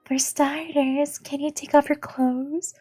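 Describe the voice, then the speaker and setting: Streamer putting on a soft, high-voiced coaxing woman. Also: level tone, slow pace, soft background music. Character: coaxing, matter-of-fact adult woman doing a makeover. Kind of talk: live read-aloud of visual novel dialogue.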